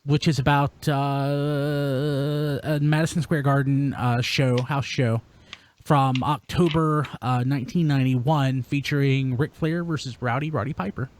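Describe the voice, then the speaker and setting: A man's voice: mid-range voice, steady tone, a little slow, a little hiss or hum. A man hosting a podcast in a chatty, informal way, talking casually to listeners and co-hosts. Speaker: podcast host. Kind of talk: casual podcast talk, sign-off plugs.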